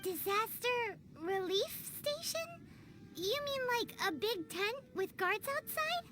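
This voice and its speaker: A woman voicing a tiny, chirpy, childlike fairy girl companion in a video game, squeaky and blunt. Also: big pitch swings, takes it slowly, over music, full character voice. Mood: eager. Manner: refers to self in third person, blunt. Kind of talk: video game character acting.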